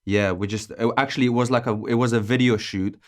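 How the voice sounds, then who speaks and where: A man in his late twenties, originally from Azerbaijan, chatting casually as a podcast guest, laid-back and laughing often, with many pauses and ums. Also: medium pitch, steady tone. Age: 20s. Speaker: podcast guest, music producer. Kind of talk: casual interview chat.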